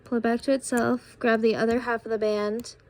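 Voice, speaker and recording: A young woman with a tired, raspy voice, casually talking through a craft tutorial step by step while she works. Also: a little high, a little fast. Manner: relaxed, talks while working with hands.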